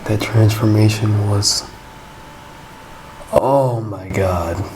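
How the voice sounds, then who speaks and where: A man's voice: on the low side, extremely slow, slight background noise, poor audio. A man giving casual, slangy reaction commentary while playing through a game. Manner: slangy, awed exclamations. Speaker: gamer reacting to a story quest. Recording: loud game music behind the voice. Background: game music.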